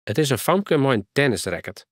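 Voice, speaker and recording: A man voicing a brisk, hospitable middle-aged mother in a storybook reading. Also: medium pitch, sing-song, no room sound, studio quality, voice pushed up.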